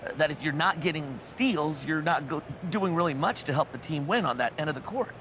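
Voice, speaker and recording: An actor playing a persistent press reporter in a sports game, asking challenging questions with a slightly stumbling, hesitant delivery. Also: male voice, fairly high pitch, brisk, close to an everyday voice. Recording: noisy background ambience.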